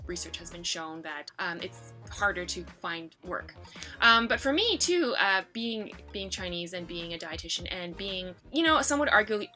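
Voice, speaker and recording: A woman's voice: lowish voice, sharp tone. A young woman from Canada, a dietitian guest, talking casually and chattily with plenty of "like" and "you know.". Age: young adult. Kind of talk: casual personal talk.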